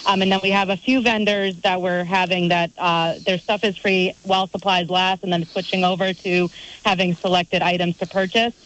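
A woman festival organizer in a radio interview, upbeat and brisk as she runs through lists of attractions. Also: lowish voice, flat delivery, a bit bright, rough recording.

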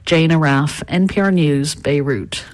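A woman foreign correspondent filing a news report in a steady, factual delivery. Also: low voice, measured pace, no room sound.

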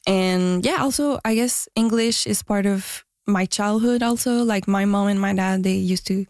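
A woman answers questions about her music in a soft, halting way. Her pitch sits in a middle range. The recording is dry and close. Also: no room sound. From Quebec, Canada. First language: French and Spanish. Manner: soft-spoken, hesitant, many fillers and restarts. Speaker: musician guest. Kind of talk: interview answers.

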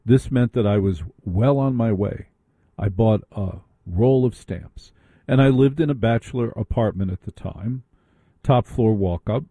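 Brief: male radio host, warm and slightly husky, chatty, close dry studio mic. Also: on the low side, a little slow, dry recording. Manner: chatty, anecdotal, interrupts callers. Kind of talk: late-night radio talk.

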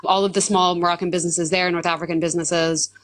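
A woman with a hoarse voice, talking casually as a football fan and guest in a live interview. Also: on the low side, flat delivery, fast talker, no room sound. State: hoarse from screaming.

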